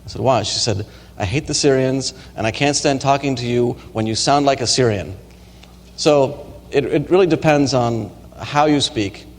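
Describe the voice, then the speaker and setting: A man's voice: medium pitch, normal speed. A man lecturing at a university and answering audience questions, with frequent ums and restarts. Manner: hesitant, many ums and restarts. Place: lecture hall. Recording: reverberant lecture hall recording.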